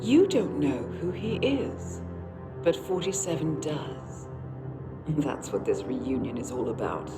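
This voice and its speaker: An actress playing a poised, sceptical intelligence handler, speaking formally and with control in a video game cutscene. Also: female voice, low-pitched, animated, slow pace. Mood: probing. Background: cinematic music.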